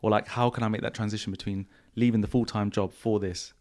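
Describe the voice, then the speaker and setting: A man hosting a podcast in a casual, relaxed way, with a croaky voice and slangy, repetitive phrasing. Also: on the low side, fast talker. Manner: relaxed, repeats himself, slangy. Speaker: podcast host. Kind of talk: casual podcast chat answering viewer questions. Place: home studio.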